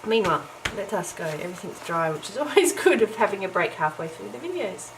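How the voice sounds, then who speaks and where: A middle-aged woman chatting casually while she crafts at home early in the morning, her voice croaky from just waking up, with lots of ums and small laughs. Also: medium pitch, sing-song, brisk. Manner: chatty and self-deprecating, with frequent ums and little laughs. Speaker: crafting vlogger. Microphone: camera's built-in mic. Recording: noisy home camera recording.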